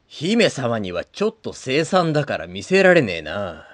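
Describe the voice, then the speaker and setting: A man playing a blunt, rough-spoken middle-aged adventurer in a comedic audio drama. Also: mid-range voice, wildly swooping pitch, normal speed, no room sound. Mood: puzzled.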